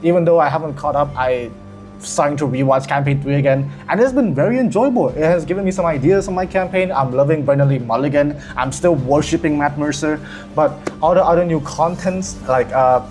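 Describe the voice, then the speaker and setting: A young man from Thailand, a video creator and dungeon master, chatting casually in a rambling, self-deprecating way and cursing often. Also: medium pitch. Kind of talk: casual chat.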